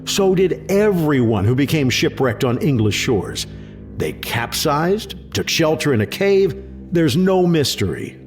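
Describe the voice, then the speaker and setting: A man's voice: a little high, sing-song, a little slow, faint music behind. A man playing an incredulous, sarcastic government official who scoffs emphatically in a sci-fi audio drama. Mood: dismissive. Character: exasperated, sceptical male government official, quick to scoff.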